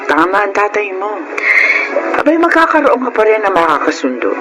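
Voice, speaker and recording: A woman's voice: medium pitch, sing-song, normal speed, very noisy, close to an everyday voice. An actress playing a caring, reproving mother in a Filipino radio drama. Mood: reassuring, reproving.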